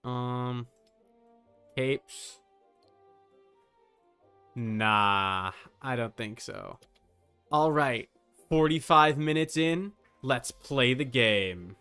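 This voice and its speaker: A man's voice: medium pitch, animated, extremely slow, pauses often, sharp tone, smooth, faint music behind. A man giving casual live gaming commentary as a streamer, informal, with muttered asides and mild swearing. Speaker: video game streamer.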